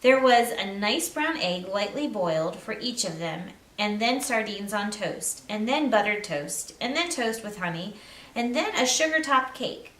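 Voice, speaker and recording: A woman's voice: mid-range voice, animated, unhurried, a bit bright. A woman reading a children's story aloud as narrator. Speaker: storybook reader. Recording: roomy echo, distant mic.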